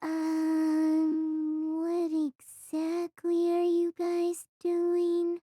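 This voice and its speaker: A woman's voice: very high voice, completely flat delivery, very slow, dry recording, clean studio sound, exaggerated voice. A woman voicing a cheerful, eager young female reporter in an audio drama. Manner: bright, eager. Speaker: voice actor. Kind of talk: fan audio drama acting.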